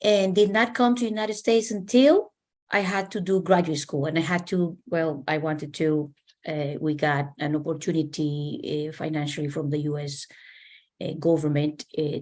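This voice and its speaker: A woman's voice: on the low side, a little mellow. A middle-aged woman from Costa Rica, a native Spanish speaker with a Costa Rican accent, sharing personal stories as a panelist. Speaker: panelist, economics professor.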